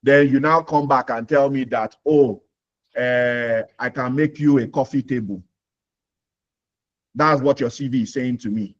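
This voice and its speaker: A man's voice: mid-range voice, a little slow, dull audio. Nigerian man hosting a career webinar. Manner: explains with homely examples, repeats points, asks "you understand?". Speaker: webinar host. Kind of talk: live Q&A webinar.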